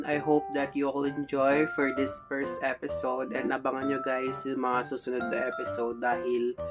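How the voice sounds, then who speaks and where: A Filipino man vlogger chatting casually in a playful, rambling way full of vague filler words. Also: mid-range voice. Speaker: vlogger making a prank call. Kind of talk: casual chat, prank phone call.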